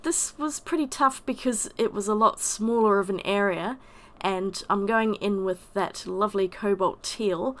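A woman with a croaky voice from a summer cold, chattily narrating a watercolour painting voice-over. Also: medium pitch, unhurried. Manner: chatty, self-deprecating. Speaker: watercolour artist.

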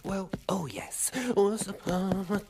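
A man voicing a bumbling, childlike middle-aged cartoon character who mumbles short, flustered exclamations in a gravelly voice. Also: sits high, level tone, slow, a crowd in the background, heavily acted. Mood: muttering. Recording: music behind the voice.

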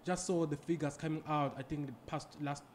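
A man's voice: a little high, steady tone, fairly quick, a little mellow, a little hiss or hum. A young man hosting an interview, speaking in a casual, chatty way and often giving short agreeing sounds. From South Africa. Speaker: podcast host. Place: small office meeting room. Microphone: desk podcast mic on boom arm.

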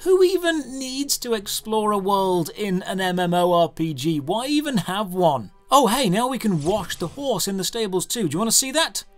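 A man's voice: sits high, big pitch swings, moderate pace, hardly any pauses, faint music behind. A man narrating a scripted game review as its host, with a sarcastic, mock-exasperated manner.

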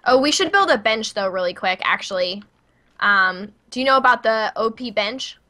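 A woman's voice: fairly high pitch, lively intonation, normal speed, pushed and bright. A woman with a Midwestern American accent, chatting casually as she streams a co-op video game. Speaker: gaming streamer. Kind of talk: casual co-op gameplay chat.

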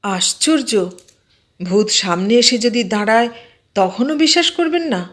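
A woman voicing a nosy, excitable man in an audio story, eagerly questioning and exclaiming. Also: fairly high pitch, moderate pace. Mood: astonished, protesting.

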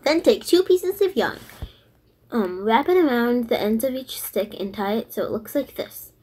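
A woman with a slightly husky voice giving simple step-by-step instructions as a voice-over for a children's craft. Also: fairly high pitch, expressive, moderate pace, darkish tone.

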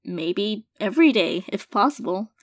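A woman's voice: mid-range voice, animated, no echo, clean studio sound. A woman playing an affectionate, hesitant girlfriend in an intimate audio roleplay, speaking sincerely and tenderly to her partner. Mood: loving, sincere. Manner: soft-hearted, hesitant, halting, gently sincere. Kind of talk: intimate audio roleplay, casual monologue to listener.

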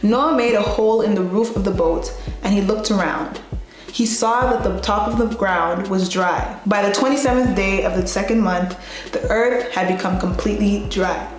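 A woman's voice: mid-range voice, moderate pace. Young woman storytelling in an echoey room. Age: young adult. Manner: playful, colloquial, expressive. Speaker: host of a Bible storytime show. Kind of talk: scripture reading and casual storytelling to camera. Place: small bright indoor room at a desk. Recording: very echoey room, music behind.

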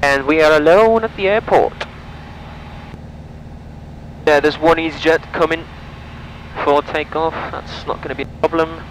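A man's voice: on the high side, measured pace, pauses often, low-quality recording. A man in his twenties calling out checklists, commenting and making radio calls as a solo pilot on a headset mic. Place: small propeller plane cockpit in flight and taxiing. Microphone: aviation headset boom mic. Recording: headset audio with steady engine noise. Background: light aircraft engine hum.

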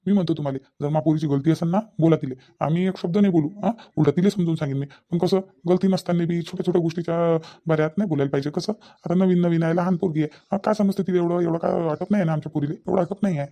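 Man acting an earnest, fast-talking village father. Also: on the high side, fairly even intonation, dark tone, close to an everyday voice. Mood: pleading, reasoning. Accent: rural Maharashtrian, Vidarbha. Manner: earnest, reasoning, repeats himself, questioning tags. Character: concerned middle-aged village father of a married daughter. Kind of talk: Marathi comedy cartoon dialogue.

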